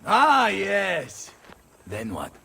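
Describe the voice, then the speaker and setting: An actor playing a hot-headed, bawdy Italian mercenary captain in a video game, theatrical and blustering with an Italian accent. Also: male voice, high-pitched, huge pitch swings, drawn-out words, creaky, sharp tone, slightly rough, long reverb tail, full character voice. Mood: brisk, commanding. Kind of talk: video game cutscene acting.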